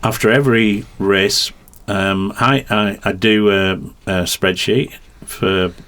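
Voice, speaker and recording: Low-voiced middle-aged Yorkshire man. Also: fairly even intonation, takes it slowly, a bit bright. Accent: Yorkshire twang. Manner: rambling, hesitant, detail-heavy explanations. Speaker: racing commentator and guest. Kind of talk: podcast conversation.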